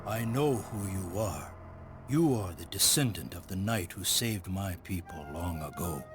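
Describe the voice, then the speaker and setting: A proud leader of an ancient people for a video game. His voice is very deep and his delivery solemn, formal and stately, over clearly audible music. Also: expressive, measured pace. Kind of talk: video game cutscene acting.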